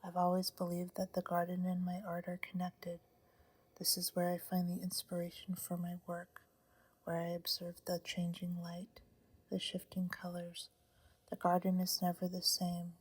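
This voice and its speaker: A woman voicing a serene old male painter with a soft put-on French accent, speaking in a slow, reflective whisper. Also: lowish voice, completely flat delivery, breathy edge.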